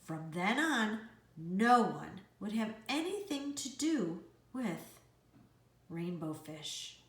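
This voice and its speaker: Slow, very expressive woman storyteller. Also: medium pitch. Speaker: storybook reader. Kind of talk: expressive scripted children's storytelling. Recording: roomy, echoey indoor sound.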